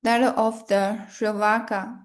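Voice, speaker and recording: Very slow woman leading meditation. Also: mid-range voice, little pitch movement. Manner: measured, solemn, short phrases with long pauses. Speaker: guided meditation leader. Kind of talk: slow guided meditation and prayer recitation.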